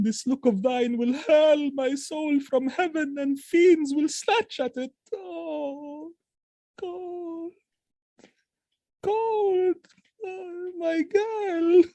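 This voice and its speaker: An anguished, proud general speaks in slow, weighty Shakespearean verse. The voice is mellow and recorded close and dry, with a declamatory, grief-laden manner. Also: male voice, very high-pitched, fairly even intonation, dry recording, full character voice. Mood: grief-stricken, weeping. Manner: declamatory, emotionally heavy, drawn-out phrasing. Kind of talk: Shakespearean audio drama acting.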